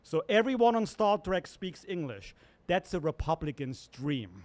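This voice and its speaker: A man with a German accent, performing a deadpan stand-up comedy set at an open mic. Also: fairly high pitch, measured pace. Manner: deadpan wordplay, self-correcting asides.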